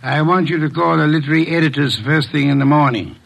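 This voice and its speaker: A shrewd, condescending detective in an old radio mystery. His voice sits fairly high with a gravelly creak, and he speaks in formal, precise, pompous sentences. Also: steady tone, quick pace, low-quality recording. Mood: commanding. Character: shrewd, imperious middle-aged detective, dry and condescending. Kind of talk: classic American radio mystery acting. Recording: old radio drama recording.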